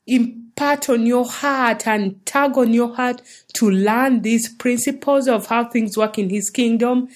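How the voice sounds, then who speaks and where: A woman from Uganda, hosting a faith-based teaching talk in an earnest, encouraging way. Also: a little high. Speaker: show host.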